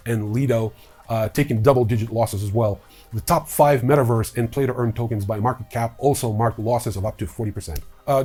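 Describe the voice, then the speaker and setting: A man's voice: medium pitch, dark tone, breathy edge. A man hosting a news show, reading a script in an informal way with casual, wry asides. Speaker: host of a crypto news show.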